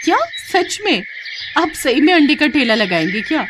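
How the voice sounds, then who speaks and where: A woman voicing an outspoken, practical village wife and mother with a brisk, homely manner in a cartoon. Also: sits high, very animated, background noise, pitched above the performer's own voice. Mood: surprised, willing.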